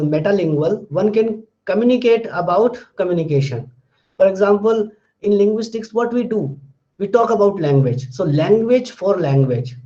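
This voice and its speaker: A man's voice: high voice, warm tone, dull audio. A man from India with an Indian English accent and Hindi as his first language, giving a methodical classroom lecture on linguistics and tagging his points with "fine". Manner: methodical, recaps terms, tags points with "fine".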